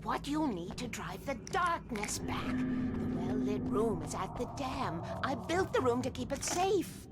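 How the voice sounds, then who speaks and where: A woman's voice: on the high side, big pitch swings, unhurried, loud music behind the voice. An actress in a video game playing an eccentric, fearful older woman who talks in cryptic, urgent bursts and whispers. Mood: urgent, scolding. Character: eccentric, fearful older woman, obsessive guardian against darkness. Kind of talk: dramatic video game acting.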